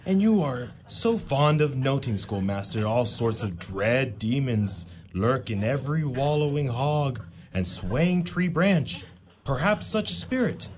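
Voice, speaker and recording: A man's voice: medium pitch, close to an everyday voice. A man voicing a mocking, brawny young male prankster who teases with sneering mock politeness, in a radio drama. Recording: clear background noise.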